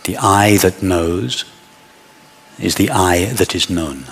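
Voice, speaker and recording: A man leading a guided meditation as a teacher, speaking in measured short phrases with long pauses. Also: low-pitched, gravelly, fairly bright tone, husky, in a large hall.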